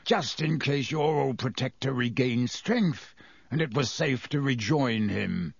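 A man's voice: medium pitch, no echo. A man voicing a bitter, brooding escaped prisoner in an audiobook, with a growling, venomous delivery. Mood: contemptuous. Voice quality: growling, gravelly. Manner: venomous, hissing, deliberate. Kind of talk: audiobook character voice.

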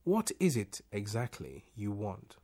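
A man voicing a calm, wry, teasing disembodied voice in a storybook, measured and mock-polite. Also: fairly low pitch, heavily acted. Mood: dry amusement. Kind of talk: storybook character reading.

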